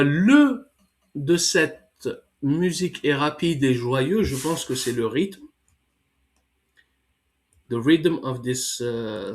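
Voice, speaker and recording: A man with a cold, slowly reading a text for learners and then explaining exercises in English with many hesitations. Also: mid-range voice, darkish tone. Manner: slow, careful reading with hesitant fillers. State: has a cold. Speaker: French teacher.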